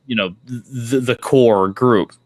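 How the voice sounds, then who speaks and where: A man's voice: medium pitch, slow, darkish tone, no echo. A man chatting casually and enthusiastically, rambling through recaps in an informal, sweary way. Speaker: podcast host or guest discussing comics.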